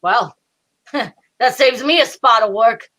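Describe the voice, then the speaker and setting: A woman playing a gruff, bossy male police detective in an old-time radio drama, with blustering, slangy tough-cop talk. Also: on the high side, slow, sharp tone, exaggerated voice. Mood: dry, fond.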